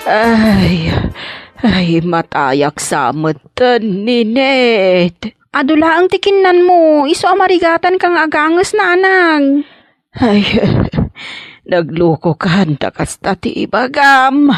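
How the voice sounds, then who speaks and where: Lady acting a dramatic complaining sick mom, ilocano accent, high pitched, drawn out, very up and down. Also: animated, slow pace, no echo, studio quality. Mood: fretful, suffering.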